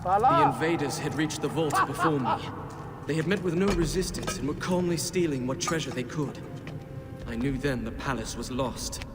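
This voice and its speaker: A man's voice: on the high side, sing-song, moderate pace, long reverb tail, music in the background. A man playing a young adventurer prince in a video game, wry and earnest, cocky yet concerned for others. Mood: grave, reflective. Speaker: protagonist and narrator. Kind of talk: video game cinematic acting and narration.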